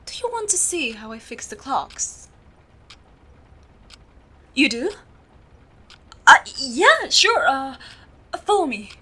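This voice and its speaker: Woman performing as meticulous female steampunk clock repairer, bright crisp-edged tone, higher pitch, measured explaining, roleplay audio. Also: big pitch swings, heavily acted. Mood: hesitant, inviting. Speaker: amateur voice actor. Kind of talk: audio roleplay, speaking to the listener.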